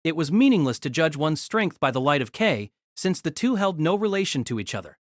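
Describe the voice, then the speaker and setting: A man narrating a novel aloud in an expressive storytelling manner. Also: a little high, brisk, no room sound, studio quality.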